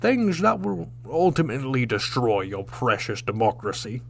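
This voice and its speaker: An actor playing a proud, stern old Klingon warrior captain in a sci-fi audio drama, formal and declamatory in manner. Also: male voice, medium pitch, big pitch swings, moderate pace, vocal fry, a little hiss or hum. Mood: weary pride.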